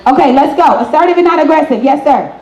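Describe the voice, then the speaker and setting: A woman hosting a live relationship talk, speaking in an animated, direct way and checking in with her listeners. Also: high voice, quick pace. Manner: animated, direct, asks the room to confirm. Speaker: host of a relationship therapy talk show. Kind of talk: lively advice talk to an audience. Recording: roomy, echoing live event space.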